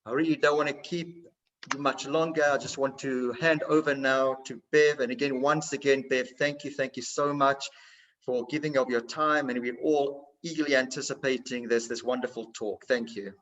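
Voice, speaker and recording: A man's voice: medium pitch, fairly even intonation, fairly bright tone. A man hosting for a synagogue, introducing a guest speaker courteously, with many hesitations. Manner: courteous, halting, many uhs. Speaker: shul host introducing the speaker. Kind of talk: formal introduction. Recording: distant, dull video-call audio.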